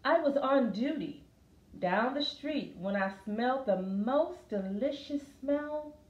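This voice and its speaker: A woman's voice: high voice, expressive, slow pace, a little mellow, lo-fi sound, voice pushed up. A woman voicing a friendly, hungry, folksy woman police officer in a storybook. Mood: curious. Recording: roomy, echoey sound.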